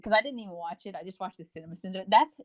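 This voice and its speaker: A man's voice: sits high, rapid-fire, muffled recording. A man co-hosting a podcast in casual chat, rambling and gushing about what he reviews, with self-mockery and casual swearing. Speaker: podcast co-host. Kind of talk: casual chat, reviewing audio roleplay series.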